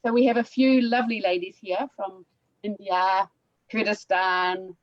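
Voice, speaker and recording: A woman speaks as a guest English teacher, friendly and warm, and she keeps calling things lovely. The sound is a dull, close, dry video call line. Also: medium pitch, fairly even intonation, a little slow, no echo. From New Zealand. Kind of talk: casual self-introduction.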